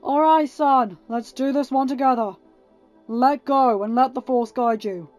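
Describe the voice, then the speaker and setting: A woman's voice: high-pitched, a little slow, soft background music, voice pushed up. A woman voicing an urgent, commanding male pilot and father who gives orders, in a fan fiction reading. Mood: firm, instructing. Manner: instructing, shouted orders over battle.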